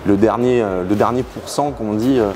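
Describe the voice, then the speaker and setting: A man's voice: lowish voice, moderate pace. A man chatting casually as he explains things like a garage owner, often hesitating and searching for his words. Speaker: garage owner showing customers' cars. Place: large workshop hangar, indoors. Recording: clear background noise in a garage.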